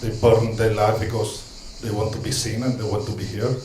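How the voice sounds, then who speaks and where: Spanish-accented man with a low voice. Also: flat delivery, a little fast, reverberant, slight background noise, poor audio. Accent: Catalan-Spanish. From Catalonia, Spain. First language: Catalan and Spanish. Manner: hesitant, many ums and restarts, warm asides. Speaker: street social educator, panel speaker. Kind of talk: conference talk from notes.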